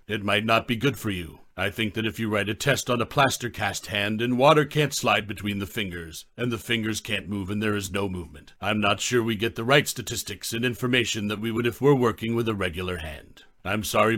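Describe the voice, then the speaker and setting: Swim coach guy rambling folksy at a talk, lowish voice, dry. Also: fairly bright tone, no room sound. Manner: folksy, rambling, tells jokes. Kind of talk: conference talk.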